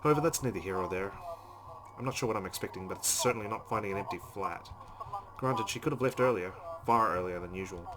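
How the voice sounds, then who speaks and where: A man hosting a let's play, reading visual novel narration aloud with asides, over loud background noise. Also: fairly low pitch, quick pace.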